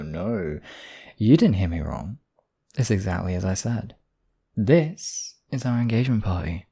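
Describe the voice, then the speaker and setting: A man's voice: mid-range voice, gravelly, dark tone. A man playing a smug, obsessive demon king in a theatrical audio roleplay, silky and possessive in his wooing. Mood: smug, teasing. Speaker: voice actor. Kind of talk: theatrical roleplay monologue, ASMR audio drama.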